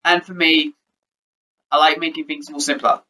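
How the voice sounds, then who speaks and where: A young man with a high voice chats to his webcam from his bedroom. He rambles, restarts and stutters now and then. His tone is bright and forceful. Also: flat delivery, moderate pace. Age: young adult. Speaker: YouTuber. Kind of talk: casual vlog update. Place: bedroom with posters, indoors.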